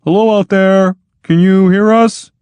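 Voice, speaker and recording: A man voicing an anxious, fawning male inventor who pleads in a high, wheedling voice. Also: expressive, a little slow, warm tone, dry recording, highs cut off. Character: anxious, fawning male constructor, eager peacemaker.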